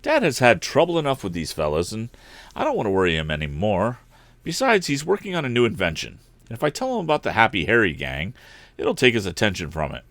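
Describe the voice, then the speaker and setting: A man voicing an earnest, eager teenage boy inventor in a storybook reading, bright and emphatic in manner. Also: lowish voice, huge pitch swings, quick pace, dry recording, exaggerated voice. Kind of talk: audiobook character voice.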